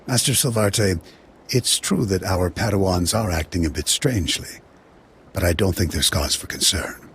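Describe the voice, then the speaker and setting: Deep, gravelly diplomatic Jedi instructor. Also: male voice, normal speed, fairly bright tone, slight background noise. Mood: calm, tactful. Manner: formal, careful. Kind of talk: video game voice acting.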